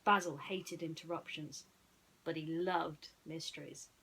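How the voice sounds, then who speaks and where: A woman storyteller speaking in a casual, chatty way, often halting and repeating herself as she goes. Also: deep voice, fairly even intonation, a bit bright, a little hiss or hum, low-quality recording. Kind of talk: casual chat and bedtime storytelling.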